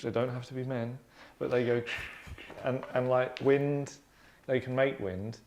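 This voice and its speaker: A man in his thirties hosting a casual group discussion, talking in a hesitant, rambling, deadpan way. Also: mid-range voice, measured pace, slight background noise. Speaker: host and narrator of a table-read show. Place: small furnished lounge, indoors.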